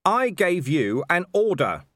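Male narrator as a grim watch commander, menacing, sarcastic, clipped orders, animated pitch, dry recording. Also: moderate pace, no echo. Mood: hard, commanding.

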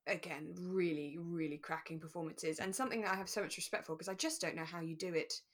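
A woman co-hosting a podcast in relaxed discussion, explaining things thoughtfully and with emphasis. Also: lowish voice, fast. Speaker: podcast co-host. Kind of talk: relaxed chat reviewing a radio drama.

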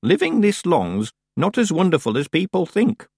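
A man voicing a very old, sharp-tongued village witch woman who bosses people about in an imperious, fussy way. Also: high-pitched, huge pitch swings, moderate pace, gravelly, slightly rough, no room sound, studio quality, voice pushed up, full character voice. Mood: brisk.

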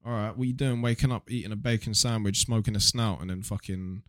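A man's voice: fairly low pitch, steady tone, fairly quick, dry recording, clean studio sound. A young man with a southern English accent chatting casually on a podcast in a blunt, slangy, sweary way. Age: young adult. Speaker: podcast guest, online video creator.

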